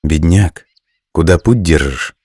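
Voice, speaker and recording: A man voicing a wise, forgiving female snake in a folk tale, with measured, counselling delivery. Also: low voice, a little mellow, dry recording, clean studio sound. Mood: calm, advising.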